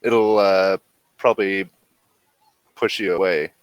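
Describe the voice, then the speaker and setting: A man narrating a tabletop role-playing game as game master, halting as he searches for words. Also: sits low, fairly even intonation, clean tone, no room sound, low-quality recording.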